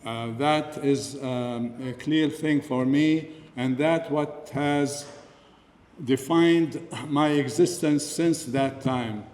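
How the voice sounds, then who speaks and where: An older man from Lebanon giving an earnest, unscripted speech at a community dinner, pausing often with fillers. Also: mid-range voice, a little mellow, slight background noise. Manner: earnest, frequent pauses and fillers. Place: large hall. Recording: echoey hall, voice from far off.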